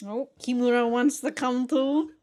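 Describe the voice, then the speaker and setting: A woman hosting a reaction show, commenting casually in an animated, exclaiming way. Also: a little high, measured pace. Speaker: host of an anime reaction show.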